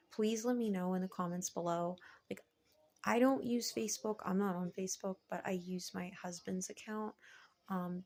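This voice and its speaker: A tired woman with a cracking, slightly hoarse voice, chatting casually to viewers as a vlogger in a hesitant, meandering way, peppered with "like" and "you know". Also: medium pitch, a little mellow. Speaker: vlogger and online reseller. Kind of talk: casual rambling chat to viewers.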